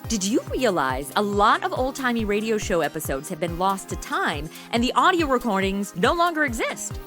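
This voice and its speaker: A woman's voice: medium pitch, very animated, normal speed, a bit bright, faint music behind. A woman hosting a podcast, reading an upbeat scripted introduction to listeners. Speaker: podcast host.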